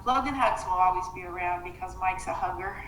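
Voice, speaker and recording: A woman's voice: fairly low pitch, fairly quick, fairly bright tone. A woman answering conversationally in an interview. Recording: roomy echo, faint background noise.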